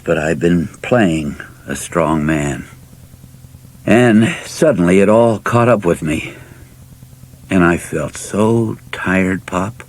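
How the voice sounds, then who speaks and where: A man voicing a weary grown son who confesses his weakness, halting and earnest, with a husky, breathy voice. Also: low-pitched.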